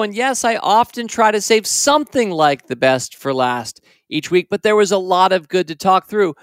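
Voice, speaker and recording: Male podcast host, higher-pitched, bone-dry studio sound, chatty mailbag reader. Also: fairly bright tone, no echo. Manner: theatrical dramatic recitation of a parody poem. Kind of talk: reading a listener mailbag aloud.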